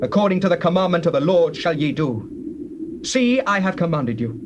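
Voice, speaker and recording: Man playing high-voiced, solemn Israelite leader Joshua. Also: animated, normal speed, dull audio, lightly acted. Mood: commanding. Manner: solemn, declamatory, authoritative. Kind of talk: dramatized scripture reading. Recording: noisy old cassette tape.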